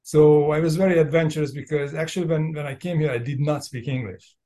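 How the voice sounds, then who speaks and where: A man's voice: a little high, fairly even intonation, a little fast, mellow. Hungarian-accented man telling his story. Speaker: podcast guest, entrepreneur and business coach. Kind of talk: casual personal storytelling in an interview.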